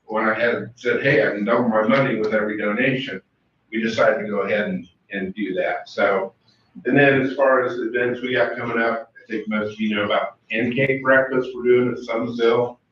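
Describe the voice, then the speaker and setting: A man giving a casual, halting report on upcoming events at a school board meeting. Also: medium pitch, fairly even intonation. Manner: casual, halting, lots of fillers. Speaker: booster club speaker. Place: large meeting room. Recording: echoey, dull, distant room recording.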